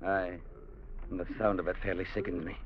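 An actor playing a principled, peace-loving trader, earnest and stern, in a vintage radio drama. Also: male voice, low voice, flat delivery, fairly quick, a little hiss or hum, close to an everyday voice. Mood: earnest, calm. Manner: earnest, old-fashioned turns of phrase. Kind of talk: 1950s radio drama acting. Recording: muffled vintage radio recording.

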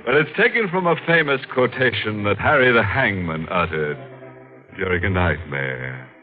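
An actor playing a gleefully macabre, tongue-in-cheek host of a 1940s radio horror show, with sardonic, mock-sinister delivery. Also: male voice, on the low side, lively intonation, moderate pace, natural voice. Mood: ghoulish amusement. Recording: muffled old radio broadcast. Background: music.

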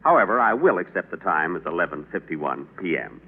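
A dry-witted bumbling angel. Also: male voice, low voice, big pitch swings, a little fast, slightly rough, narrow, tinny audio, full character voice. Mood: dry, polite. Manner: dry, politely smug wit, then panicky. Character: mild, bumbling male angel sent to earth. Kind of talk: 1940s radio acting. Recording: scratchy old radio broadcast.

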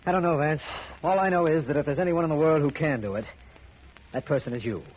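A man's voice: a little high, fast talker, lightly acted. A man playing an exasperated, insistent district attorney in a 1950s radio drama, urgent and emphatic. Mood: earnest, urgent. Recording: muffled, noisy old radio recording.

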